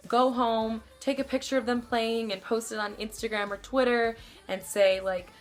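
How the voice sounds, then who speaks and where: A young woman with a somewhat deep voice, giving casual advice to her camera and rambling with lots of fillers. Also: steady tone, normal speed. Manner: chatty, rambling, many fillers. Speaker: vlogger.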